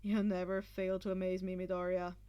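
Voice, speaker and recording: A woman's voice: on the low side, flat delivery, brisk, smooth, muffled recording, pitched below the performer's own voice, natural voice. A woman voicing a cool, confident teenage schoolboy with a casual manner in an amateur story reading. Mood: amused, confident. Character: cool, dry, laid-back teenage schoolboy. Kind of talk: amateur fan fiction audio reading.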